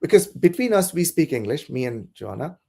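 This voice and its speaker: Gravelly-voiced man, hesitant and thoughtful. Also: fairly high pitch, sing-song, fairly quick, mellow. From south India. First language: Malayalam. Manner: thoughtful, hesitant with many restarts. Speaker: podcast guest. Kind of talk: casual conversation.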